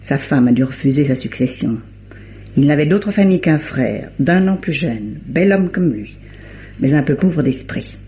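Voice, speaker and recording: Knowing female sleuth, self-assured and deliberate, vintage French radio play, muffled with faint hiss. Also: sits low, expressive, dark tone. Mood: confident, explanatory. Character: shrewd, self-assured lady investigator named Mathilde. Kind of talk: old-fashioned French radio drama acting. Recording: dull, faintly noisy old radio recording.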